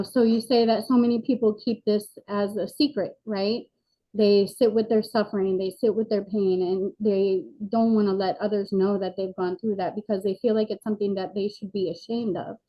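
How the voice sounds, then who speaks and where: Woman, 40s, interview guest: earnest, reflective, even-toned, on a dull lo-fi line. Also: mid-range voice. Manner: earnest, reflective, frequent fillers. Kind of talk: candid personal interview. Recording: dull lo-fi sound.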